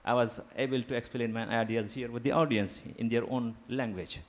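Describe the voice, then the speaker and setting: A man whose first language is Urdu, giving a formal seminar lecture in a deliberate academic manner, pausing with fillers. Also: medium pitch, steady tone. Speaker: guest lecturer. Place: seminar hall.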